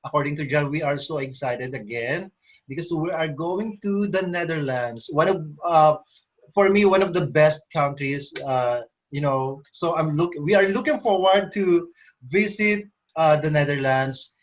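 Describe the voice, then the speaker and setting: Slow-talking man, dull lo-fi audio. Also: fairly high pitch. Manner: slow, hesitant, with many fillers. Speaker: co-host. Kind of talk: casual online show hosting.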